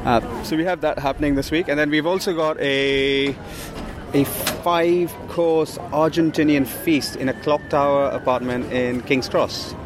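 A man who grew up in India, co-founder of a food startup, chatting casually in an interview while walking outside, with a relaxed and chatty manner and many fillers. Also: fairly high pitch, moderate pace. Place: outdoors on a city street. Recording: outdoor street recording with traffic. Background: traffic noise.

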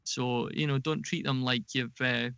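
A man giving casual, explanatory interview answers as a podcast guest, speaking in a measured way with some hesitation. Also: medium pitch, fairly even intonation, creaky, a bit bright, smooth, no echo, lo-fi sound. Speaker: podcast guest, audio drama producer.

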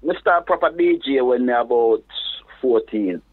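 A man from England with a Jamaican patois accent mixed with London speech, a reggae DJ chatting as an interview guest in a relaxed, halting way. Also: mid-range voice. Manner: relaxed, halting, restarts phrases. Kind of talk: casual interview chat. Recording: phone-like narrow sound.

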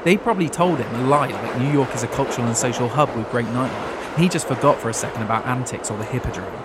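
A man's voice: medium pitch, fast, heavy background noise, lightly acted. A man with a British accent acting as a brash, crude, bumbling show host in a comedy radio play. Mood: blustering, cocky. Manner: excitable, blustering, sweary.

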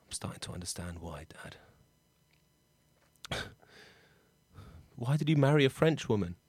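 A man's voice: mid-range voice, very animated, a little slow, a little mellow, slightly rough, dry recording, exaggerated voice. A man plays a luckless Englishman stranded far from home in an improvised comedy. He is blunt, chatty and sweary, recorded very dry. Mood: forlorn muttering. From England. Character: Bob, hapless sweary Englishman stranded in France, missing his dad.

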